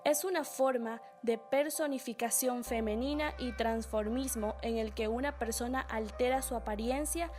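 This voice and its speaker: A woman's voice: fairly high pitch, steady tone, normal speed, few pauses, darkish tone. A woman reading a scripted narration as the narrator of a documentary. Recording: music under the voice. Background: music.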